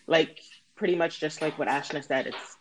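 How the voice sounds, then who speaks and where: A woman's voice: sits low, normal speed, background noise. Chatty woman from Trinidad. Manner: conversational, lots of "like" and "you know". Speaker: panel guest. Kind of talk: casual panel discussion.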